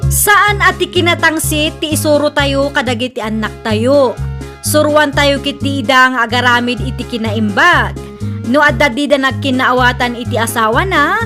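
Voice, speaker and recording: A woman announces a radio drama in a high voice with an Ilocano Filipino accent. Her delivery is scripted and presentational, with music playing behind her. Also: lively intonation, moderate pace.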